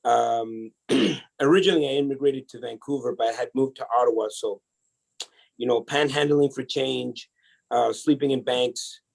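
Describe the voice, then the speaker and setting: A man from El Salvador, a podcast guest telling his life story in a casual, energetic way, often restarting his sentences. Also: medium pitch, moderate pace, creaky, slightly rough, poor audio. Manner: energetic, chatty, many restarts.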